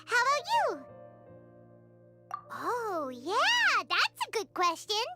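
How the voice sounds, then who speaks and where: A woman voicing a small, chirpy, naive fairy companion in a video game, with a squeaky childlike voice and expressive delivery. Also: slow, over loud music, heavily acted. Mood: curious. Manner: refers to self in third person, expressive.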